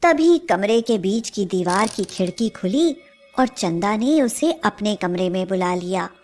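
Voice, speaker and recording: A woman narrating a scripted fairy tale as a storyteller, in a measured manner. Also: medium pitch, expressive, a little mellow, soft background music.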